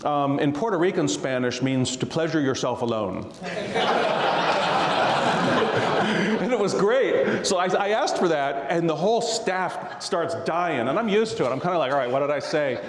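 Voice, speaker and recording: A man's voice: on the high side, expressive, moderate pace, very noisy. A man, a tax attorney, telling stories and explaining tax rules to a live audience, laughing often. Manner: jokey storyteller, laughs often. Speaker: real estate tax attorney guest. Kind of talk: casual talk to a live audience. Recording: roomy hall reverb.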